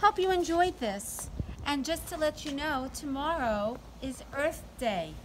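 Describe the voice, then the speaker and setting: A middle-aged woman doing an expressive, deliberate read-aloud storytime for young children. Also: sits high, poor audio. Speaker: teacher reading to children. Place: outdoors in a sunny backyard. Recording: outdoor ambient noise.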